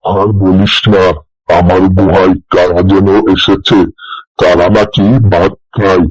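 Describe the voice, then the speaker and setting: Man voicing a deep, husky cowardly tiger. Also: level tone, slow, no echo, poor audio. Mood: frightened. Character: gullible, cowardly, blustering male tiger. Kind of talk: cartoon fairy-tale acting.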